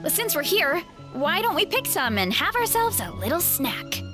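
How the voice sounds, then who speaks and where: A cheerful young woman with a big appetite in a video game, friendly and quick to laugh. Her voice sits high and moves in lively swings. Faint game music hums behind her. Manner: bright and friendly, laughs easily. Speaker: game character showing guests an orchard. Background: soft game music.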